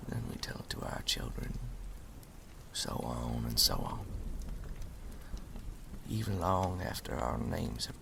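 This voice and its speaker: Man voicing a very deep, gravelly, whispering creature storyteller. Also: background noise, full character voice. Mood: eerie, reflective. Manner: slow, deliberate, folksy, ominous. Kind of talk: ASMR roleplay, slow hushed storytelling.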